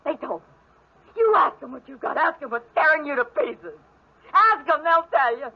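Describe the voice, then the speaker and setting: A bitter, grieving woman with a high voice, hammering out reproaches. The intense melodramatic acting comes through a muffled old radio recording. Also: lively intonation, moderate pace. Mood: vengeful. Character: bitter, accusing, grief-stricken sister.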